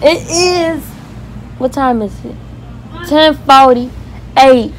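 A woman's voice: high-pitched, drawn-out words, slight background noise, low-quality recording. A young American woman chatting casually to viewers as a vlogger, recorded on a handheld phone. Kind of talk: casual vlog chat. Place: car, hotel rooms and balcony. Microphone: handheld phone at arm's length.

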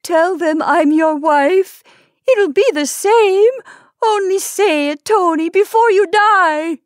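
Brief: woman reader voicing a frantic, pleading wife, high and loud, begging. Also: moderate pace, no room sound, clean studio sound, close to an everyday voice. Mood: shrieking. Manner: pleading, rising to a shriek. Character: pleading desperate wife of a dying shopkeeper.

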